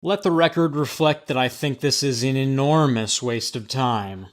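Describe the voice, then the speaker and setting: A man's voice: mid-range voice, moderate pace, close to an everyday voice. An actor playing an exasperated, pompous male executor in an audio drama, indignant and scornful. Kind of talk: acted audio drama dialogue.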